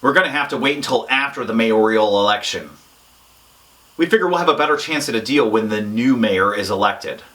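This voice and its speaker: A man playing a gruff, stubborn police negotiator boss with a curt, dismissive manner in a comedy sketch. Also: on the low side, lively intonation, fairly quick, bright, forceful tone.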